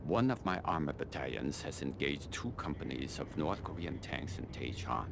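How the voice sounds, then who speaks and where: Deep-voiced, imperious army colonel. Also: male voice, expressive, vocal fry, background noise, heavily acted. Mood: brisk, commanding. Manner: measured, imperious, formal, dryly condescending. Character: cold, calculating middle-aged Chinese army colonel, male. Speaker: military commander giving mission briefings. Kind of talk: video game mission briefing dialogue.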